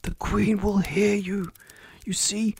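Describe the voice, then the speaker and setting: A nervous little storybook rabbit, acted out by a narrator, timid and fearful. The voice is hushed and whispery, a little raised in pitch, with an anxious, secretive manner. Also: vocal fry, breathy edge, no room sound. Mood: frightened, hushed. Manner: hurried, nervous, stammering.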